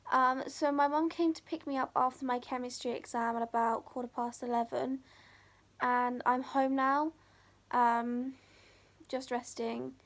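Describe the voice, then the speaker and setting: A teenage girl chatting casually to camera for her vlog, voice croaky from a sore throat, full of "like" and "um". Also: high voice, fairly even intonation, unhurried. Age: teens. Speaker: vlogger.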